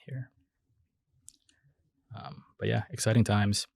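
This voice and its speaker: A young man, a startup founder, chatting casually in an interview, hesitant with many fillers and restarts and quick laughs. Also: on the low side, fairly even intonation, gravelly, darkish tone, no room sound, clean studio sound. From United States.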